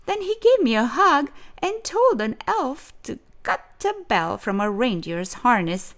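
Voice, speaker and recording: A woman reading a children's storybook aloud in an expressive, deliberate way with dramatic pauses. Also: medium pitch. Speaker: storyteller, host of a read-aloud show.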